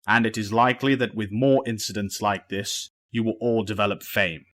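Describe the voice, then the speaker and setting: A man playing a stern, protective professor and mentor in an audio drama, speaking in a measured, formal, authoritative way. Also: on the low side, steady tone, a bit bright, natural voice.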